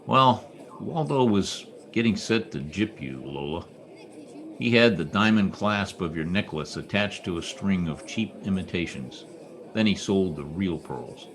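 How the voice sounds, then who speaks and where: An actor playing a world-weary but decent private detective in old-time radio noir style, wry and laconic. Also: male voice, deep voice, expressive, measured pace, a bit bright, heavily acted. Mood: gentle, guarded.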